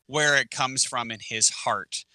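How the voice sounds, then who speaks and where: A man co-hosting a casual podcast, chatting in a relaxed way with lots of fillers. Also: mid-range voice, a little slow. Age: adult with kids. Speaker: podcast co-host, martial arts teacher.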